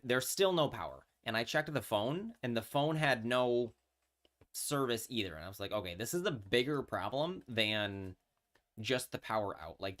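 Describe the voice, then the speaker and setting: Rambling New man, lowish voice. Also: fairly bright tone. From New York. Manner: rambling storyteller, many fillers and restarts. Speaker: streamer. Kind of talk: casual live-stream chat.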